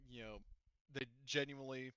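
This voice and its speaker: A man's voice: mid-range voice, steady tone, moderate pace, sharp tone, smooth, no echo, lo-fi sound. A man running a tabletop roleplaying session as game master, talking conversationally and often searching for his words.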